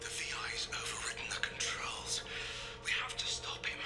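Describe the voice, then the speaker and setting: Loud music runs under an echoing, half-whispered male voice acted by a man. He is an earnest game scientist, formal and pressing in his delivery. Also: normal speed, lightly acted. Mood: urgent. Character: urgent, earnest male research scientist in crisis. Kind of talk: video game dialogue acting. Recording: echoey, loud music behind.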